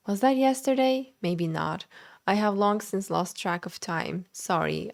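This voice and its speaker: Lower-voiced woman game streamer. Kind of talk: livestream commentary and reading game text aloud.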